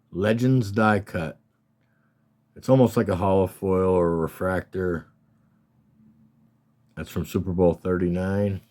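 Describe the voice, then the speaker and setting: A man with a slightly scratchy voice, casually and haltingly talking through his collection as a hobbyist host. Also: sits low, darkish tone. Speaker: collector hosting a card showcase. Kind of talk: casual rambling show-and-tell talk.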